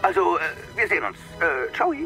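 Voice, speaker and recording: A man's voice: high voice, sing-song, brisk, vocal fry, music in the background, heavily acted. An actor playing a flustered, awkward man who stammers hesitantly in an audio drama. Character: flustered, awkward male character, stammering excuses.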